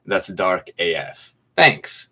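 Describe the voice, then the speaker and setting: A man in his twenties from Greece, speaking with a slight American accent and a deep, low voice. He chats in a relaxed, easygoing way on a phone livestream at home, reading out comments and answering them one by one. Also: slow. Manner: laid-back, chatty, reads comments aloud and answers them. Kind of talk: casual livestream chat answering viewer comments. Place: room at home with mint green walls.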